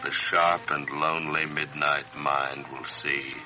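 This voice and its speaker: A man announcing a scripted broadcast program in a measured, formal way. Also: a bass voice, little pitch movement. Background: music.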